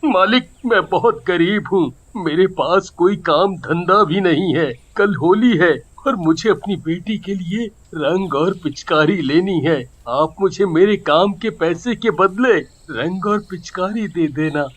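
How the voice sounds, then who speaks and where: Man acting a poor, slow-spoken, high-voiced worried father. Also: a bit bright, heavily acted. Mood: pleading. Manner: slow, pleading, sorrowful. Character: poor, humble, worried middle-aged father, gentle and pleading. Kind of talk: Hindi moral story dramatization.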